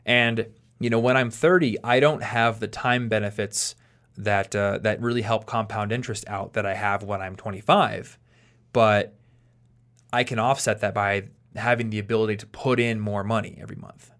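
A man in his late twenties hosting a podcast, explaining things in a relaxed chat while thinking aloud and restarting his sentences. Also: on the low side, normal speed. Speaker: podcast host.

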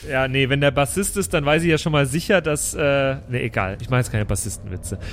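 A man's voice: mid-range voice, brisk, fairly bright tone, a little hiss or hum. A man chatting casually and bantering as a player in a tabletop game.

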